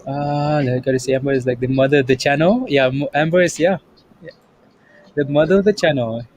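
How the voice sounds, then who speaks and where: A man with a slightly raised pitch hosts a relaxed trading livestream, reading chat messages and keeping the mood light. His voice sits over a faint background hiss. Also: brisk. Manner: upbeat, repeats "yep" and "there you go". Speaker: livestream host.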